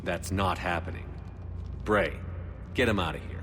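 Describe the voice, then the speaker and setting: An actor playing a stern, principled space soldier commander in a video game, firm and earnest. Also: male voice, low voice, moderate pace, a little hiss or hum. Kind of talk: dramatic video game acting. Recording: studio voice under loud music.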